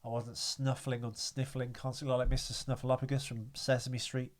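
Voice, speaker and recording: Male podcast host, middling pitch, wry and rambling storyteller in loose conversation. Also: level tone, normal speed. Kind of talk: casual podcast chat.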